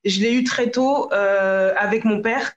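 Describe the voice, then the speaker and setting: A woman's voice: mid-range voice, steady tone, unhurried. A woman giving casual interview answers, often searching for words and repeating herself. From France, Paris region. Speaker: podcast guest. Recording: echoey, dull lo-fi sound.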